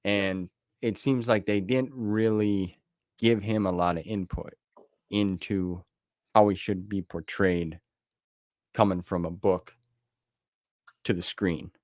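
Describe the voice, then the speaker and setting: A man's voice: low voice, steady tone, takes it slowly, pauses often, darkish tone, dry recording, studio quality. A man hosting a podcast and chatting casually with his guest. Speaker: podcast host. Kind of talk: casual chat.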